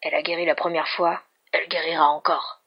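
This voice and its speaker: Narrator doing a grumpy guarded hurt guy in a romance story, dry teasing, gravelly, muffled audio. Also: mid-range voice, flat delivery, brisk. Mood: reluctant, annoyed. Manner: reluctant, grumbling, dry. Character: guarded, stubborn injured man, wryly teasing. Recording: dull, muffled lo-fi recording.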